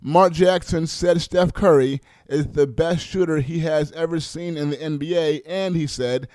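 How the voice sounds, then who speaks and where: A man's voice: a little high, steady tone, a little slow, darkish tone, no room sound. A man reading a scripted sports report for radio as a reporter.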